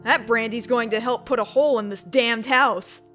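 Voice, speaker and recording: A frank, loyal survivor acted by a woman talks plainly in a scripted drama. The voice sits slightly high, with faint music behind it. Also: fairly even intonation, normal speed, fairly bright tone, lightly acted. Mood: gentle, wry. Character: frank, steadfast woman in an embattled group. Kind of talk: scripted web film dialogue.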